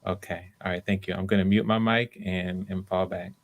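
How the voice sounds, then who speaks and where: A man's voice: low voice, little pitch movement, brisk, gravelly. A man casually hosting live-stream auditions as casting director, speaking in an encouraging way. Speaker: casting host.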